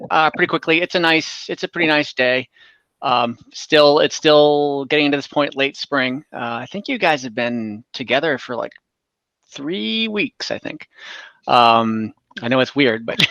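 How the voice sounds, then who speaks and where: A man with a bright, slightly high voice narrates a tabletop game as game master, casually improvising. He speaks haltingly and conversationally, and the recording is close and dry. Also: smooth, no echo, dull audio. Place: indoors, online call.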